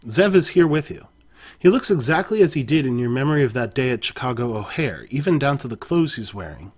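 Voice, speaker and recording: Male storyteller with a husky, mid-range voice; reads an interactive adventure aloud and fixes his own slips. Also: medium pitch, sing-song, fairly quick, a little mellow. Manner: second-person narration, occasional self-corrections.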